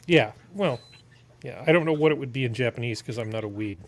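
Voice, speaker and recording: Middle-aged man, medium pitch, casual comic panel chat. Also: a little fast. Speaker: panel member.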